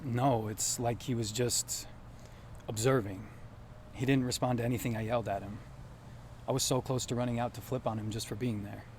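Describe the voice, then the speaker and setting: A young American man played by an actor, mid-pitched and flustered, talks in a halting, candid way as a small-town local in an audio drama. Faint noise sits behind him. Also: steady tone, a little mellow, close to an everyday voice. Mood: defensive, regretful. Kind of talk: naturalistic audio drama dialogue.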